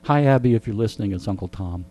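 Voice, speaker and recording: A man's voice: fairly low pitch, animated, fast talker, a little mellow, a little hiss or hum. A middle-aged man, a veteran radio host, chatting and telling stories in a rambling, dryly joking way as he is interviewed. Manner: joking, rambling, dry humour. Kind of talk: casual storytelling interview chat.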